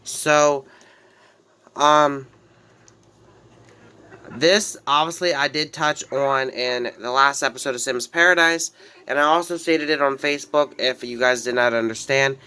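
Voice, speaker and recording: A man's voice: medium pitch, fairly even intonation, unhurried, long pauses, bright, forceful tone, clean tone. A man with a head cold and a slightly nasal voice, casually narrating his life-simulation game story in a rambling way with frequent restarts. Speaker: gameplay narrator. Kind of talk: casual let's-play storytelling.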